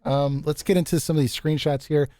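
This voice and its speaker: A man with a deep voice hosting a casual group chat, easygoing and quick to laugh, often restarting his sentences. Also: fairly even intonation, dark tone, dry recording, clean studio sound. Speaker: podcast host.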